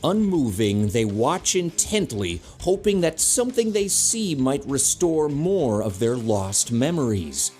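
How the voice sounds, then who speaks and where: Male narrator for action audio drama, mid-pitched, very expressive, faint music bed. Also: unhurried, hardly any pauses.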